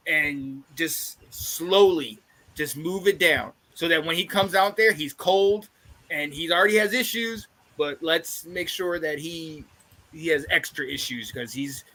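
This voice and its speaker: Stuffy-nosed man with a bright voice. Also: fairly high pitch. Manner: energetic, laughs often, playful. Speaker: podcast host. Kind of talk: casual sports podcast chat.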